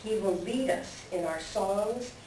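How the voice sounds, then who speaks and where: A woman voicing a wise, patient male eagle elder in a readers theater, measured in the way a storyteller reasons. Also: medium pitch, mellow, close to an everyday voice. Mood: solemn, welcoming. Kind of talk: readers theater stage reading. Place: library room, recorded from a distance. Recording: very echoey library room, distant, hissy.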